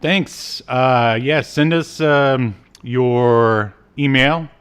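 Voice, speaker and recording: A man's voice: medium pitch, extremely slow, fairly bright tone, smooth. A man co-hosting a podcast in a casual, joking livestream chat, poking fun at himself. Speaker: podcast co-host.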